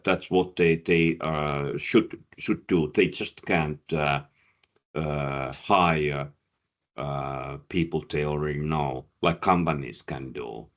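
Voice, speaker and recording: Very deep, slow, halting man. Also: fairly even intonation, lo-fi sound. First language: Finnish. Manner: halting, many fillers and repeated words. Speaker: career coach and presenter. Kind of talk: answering audience questions after a talk.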